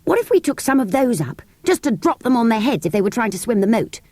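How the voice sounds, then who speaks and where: Brief: keen young boy, high and bright, read by a woman. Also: big pitch swings, quick pace, no echo. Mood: enthusiastic. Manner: enthusiastic. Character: cheerful resourceful young boy.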